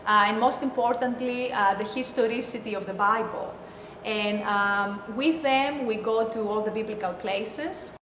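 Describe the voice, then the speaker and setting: A woman with a Greek accent, a school dean, speaking earnestly in a testimonial with frequent pauses. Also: a little high. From Greece. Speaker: high school dean of academics. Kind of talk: testimonial to camera. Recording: echoey hall, faint noise.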